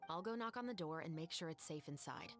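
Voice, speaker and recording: An actress playing a composed, dutiful young guard officer in a video game, formal and firm. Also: female voice, sits low, lively intonation, quick pace, over loud music. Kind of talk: video game character acting.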